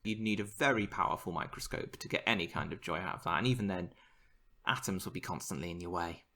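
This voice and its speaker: A man's voice: low-pitched, fast talker, pushed and bright, a little hiss or hum. A man co-hosting a podcast, explaining science news in a casual, enthusiastic way. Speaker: podcast co-host.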